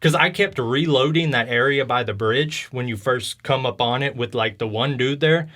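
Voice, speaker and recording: A man's voice: mid-range voice, normal speed, a bit bright. A man hosting a video game podcast, chatting casually in a rambling, slangy storytelling way. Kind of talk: casual chat about video games.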